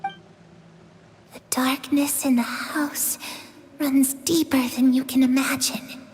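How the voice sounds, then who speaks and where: A woman's voice: high voice, fairly even intonation, slow, darkish tone. A woman voicing an eerie, mournful young girl in a video game. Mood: ominous. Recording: long hall reverb, background noise.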